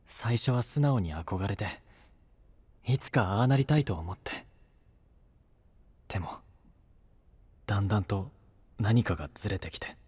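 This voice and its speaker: An actor playing a brash, rough-talking young knight and younger brother in an anime-style voice drama. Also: male voice, lowish voice, lively intonation, measured pace, long pauses, darkish tone, long reverb tail. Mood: confessional, pained. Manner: blunt, rough masculine speech.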